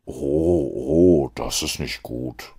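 A man voicing a cool, shrewd gentleman detective in an audiobook, with a measured, dry, authoritative manner. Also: rumbling low, breathy edge, pitched below the performer's own voice, heavily acted.

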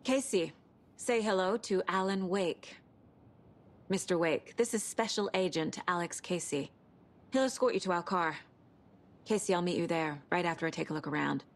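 An actress playing a calm, focused female FBI investigator in a video game, speaking firmly and matter-of-factly. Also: lowish voice, moderate pace, natural voice. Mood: businesslike. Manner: calm, firm, matter-of-fact. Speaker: FBI agent.

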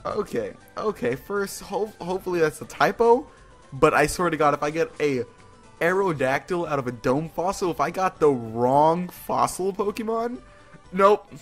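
A man's voice: fairly high pitch, expressive, normal speed. A man hosting a casual video game playthrough, rambling, exclaiming and poking fun at himself as he goes. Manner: rambling, self-mocking, exclaiming. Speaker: gaming video host. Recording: music behind the voice. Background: video game music.

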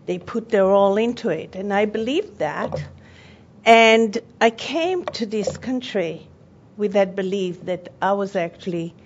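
Accented woman speaking on a panel. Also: medium pitch. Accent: foreign accent. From born in Israel, raised in Europe and Australia. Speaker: panelist, television network owner. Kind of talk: panel presentation. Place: conference room at a workshop. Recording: dull lo-fi conference audio with faint hum.

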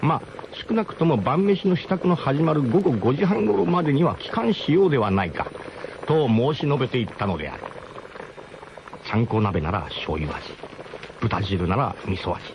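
A man's voice: mid-range voice, very animated, normal speed, noisy background. A man playing a camper who narrates a comic radio drama in a grandiose, mock-solemn way with colloquial turns. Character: male camper grandly recounting his gang's ramshackle expedition.

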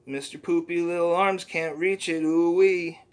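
A man's voice: a little high, fairly even intonation, measured pace, voice pushed up, close to an everyday voice. A man voicing a cheery, folksy little male poop creature from a comic, who keeps saying "ooh-wee.". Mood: chirpy.

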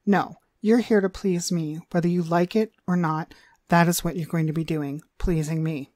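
A woman playing a cruel, domineering demonic dog trainer in an ASMR roleplay, haughty and scolding with drawn-out emphasis. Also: on the low side, fairly even intonation, a little mellow, no echo, clean studio sound, close to an everyday voice. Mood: disgusted, scornful.

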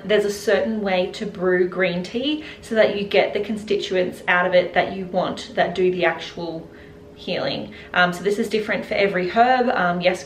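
A woman chatting casually to camera as a health vlogger, recorded indoors at home. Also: medium pitch. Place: indoors in a home kitchen and living room. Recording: roomy echo with clear background noise.

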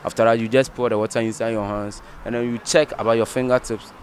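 A man's voice: medium pitch, level tone, fast talker, slight background noise. A young man explaining handwashing step by step in plain English to a street interviewer. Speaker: interviewee, mechanic. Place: outdoors at a workshop. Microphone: handheld mic held by interviewer.

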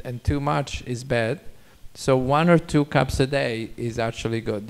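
Older male medical panelist, Italian accent, mid-range pitch, informal Q&A delivery on stage. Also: vocal fry, a little mellow. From Italy. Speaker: doctor on an expert panel. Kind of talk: informal expert Q&A. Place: auditorium stage. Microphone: handheld microphone.

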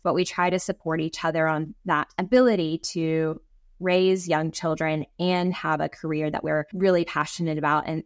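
A woman's voice: fairly low pitch, no room sound, clean studio sound. A woman in her thirties from the United States with an American accent, a guest telling stories in a chatty way with frequent restarts over a video call from a home office. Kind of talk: conversational interview.

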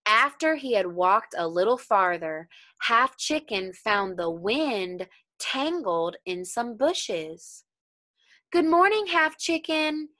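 A young adult woman teacher reading a story aloud to children and explaining it with simple, deliberate questions. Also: mid-range voice, animated, bright, forceful tone. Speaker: elementary school teacher.

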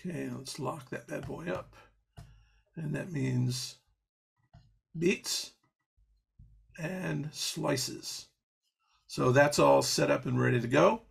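A man's voice: fairly high pitch, slow, gravelly, pauses often, breathy edge. A man teaching an audio programming patch step by step on a video call, explaining as he goes with fillers and restarts. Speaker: software instructor. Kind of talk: live online coding demo.

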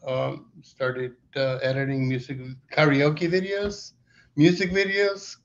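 A middle-aged man, telling stories in a relaxed, halting way full of fillers on a video call. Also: medium pitch. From Iceland. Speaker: documentary director. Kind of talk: casual interview answers. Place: indoor room with plain wall, video call. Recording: dull lo-fi video call audio.

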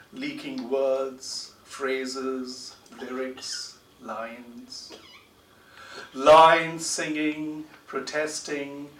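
A man's voice: mid-range voice, fairly bright tone. A middle-aged man speaking and reading as a poet at a public panel event. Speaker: poet, translator and book editor on a panel. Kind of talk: panel talk and poetry reading. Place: large indoor lecture theatre. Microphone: desk gooseneck microphone. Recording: roomy hall, distant mic, faint noise.

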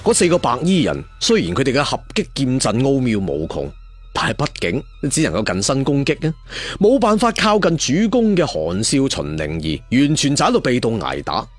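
A man's voice: medium pitch, wildly swooping pitch, moderate pace, dry recording. A man with a Cantonese accent narrating a martial arts story dramatically, with conversational asides. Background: faint music.

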